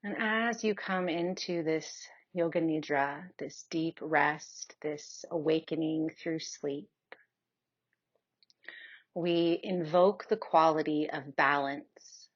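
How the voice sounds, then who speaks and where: Woman doing guided meditation, really slow and soothing, low voice, kinda muffled audio. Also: fairly even intonation. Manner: soothing, unhurried with long pauses. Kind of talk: guided meditation, yoga nidra.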